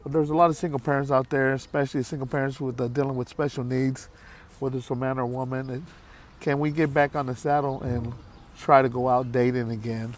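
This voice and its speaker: A man in his late fifties talking candidly to his viewers in a halting, heartfelt way, with many pauses and repeated phrases. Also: medium pitch, steady tone, a little hiss or hum. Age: 50s. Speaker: vlogger. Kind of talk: candid talk to camera.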